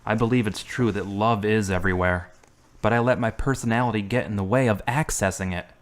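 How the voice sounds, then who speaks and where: A man's voice: on the low side, brisk, lightly acted. An actor playing an earnest young man recovering from an accident, who talks sincerely and asks many questions. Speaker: hospital patient. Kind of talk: amateur web drama acting.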